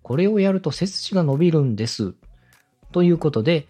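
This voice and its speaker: A male host speaks with animated, widely moving pitch and a slightly rough, husky tone. He coaches politely and methodically, dropping in sample phrases as demonstrations. Faint music plays behind his voice. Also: fairly quick. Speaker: radio director and podcast host giving advice. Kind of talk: step-by-step coaching on presenting.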